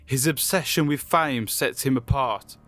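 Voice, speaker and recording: A man's voice: medium pitch, a little slow, a bit bright, dry recording. A man presenting a game character showcase in a scripted promotional narration style.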